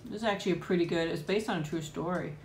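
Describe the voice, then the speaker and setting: A woman in middle age hosting a casual live chat with viewers at home, rambling and laughing often, with frequent ums. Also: rapid-fire. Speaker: host of a live chat and eating stream. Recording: echoey room with faint rumble.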